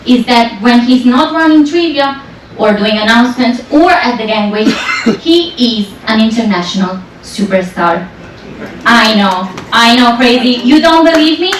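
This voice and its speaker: A woman's voice: on the high side, slight background noise. A woman introducing a performer on stage, playful and teasing the crowd. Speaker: show host introducing the act. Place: ship's lounge stage. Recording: very echoey, distant, with faint ambience.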